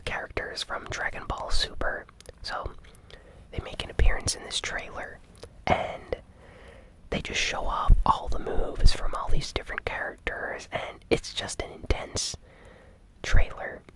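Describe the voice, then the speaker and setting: A host whispering an ASMR gaming news recap in a breathy, unhurried, hushed and gently enthusiastic way.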